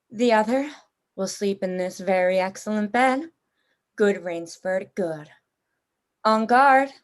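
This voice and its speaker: A woman playing an urbane, cruel general and hunter in a radio play, suave, theatrical and measured. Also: fairly high pitch. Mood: coolly amused.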